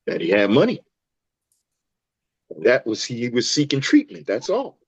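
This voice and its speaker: Man acting a defensive doctor, swooping pitch, muffled. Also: wildly swooping pitch, a little slow, creaky, husky, dry recording, full character voice. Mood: guarded, troubled. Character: evasive male doctor, defensive and measured. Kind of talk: table read of a screenplay.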